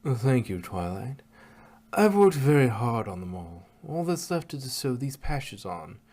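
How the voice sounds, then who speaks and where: A man's voice: medium pitch, sing-song, measured pace, a little mellow. A man voicing a refined, gracious female pony dressmaker in a theatrical, genteel manner for a cartoon fan story. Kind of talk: amateur cartoon fan fiction reading.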